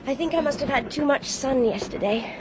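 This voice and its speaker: A woman's voice: high voice, a little fast, close to an everyday voice. An actress playing a polite, well-bred young English woman traveller in a radio drama, speaking in a reserved, well-spoken way. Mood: weary. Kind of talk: radio drama dialogue and first-person narration. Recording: faint train noise behind.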